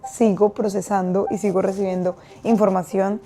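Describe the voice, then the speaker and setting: A woman's voice: medium pitch, level tone, fairly quick, music in the background. A woman from Colombia speaking in an earnest, reflective way.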